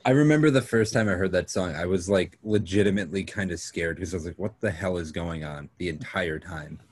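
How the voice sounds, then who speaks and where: A nineteen-year-old man talks casually with friends on a podcast. His voice runs somewhat low, and he is chatty and agreeable, quick to laugh along. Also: a little mellow, husky. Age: late teens. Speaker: podcast co-host. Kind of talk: casual chat.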